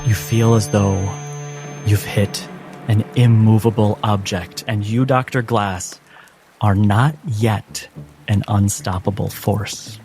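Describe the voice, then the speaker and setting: Man, low voice, game master, dramatic tabletop narration, deliberate delivery, music playing behind. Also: steady tone. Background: background music.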